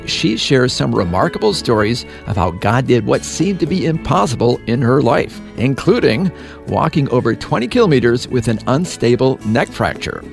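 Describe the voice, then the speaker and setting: Gravelly-voiced male radio host. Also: medium pitch. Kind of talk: hosted interview.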